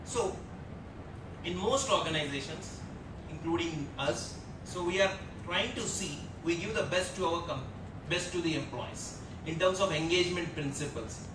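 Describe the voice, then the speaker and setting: Indian guy giving a panel talk, kinda high voice, echoey hall, rumble behind. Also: normal speed, far from the mic. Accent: Indian English. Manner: earnest, repetitive, many fillers. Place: large auditorium stage at a lectern. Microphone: lectern mic heard from a far camera.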